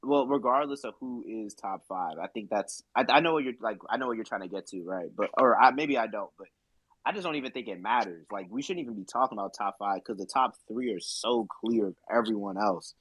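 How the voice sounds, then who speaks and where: A man's voice: fairly low pitch, a little fast, fairly bright tone, husky, dry recording, poor audio. A man hosting a casual discussion, talking emphatically and bluntly dismissing other views. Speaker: discussion host.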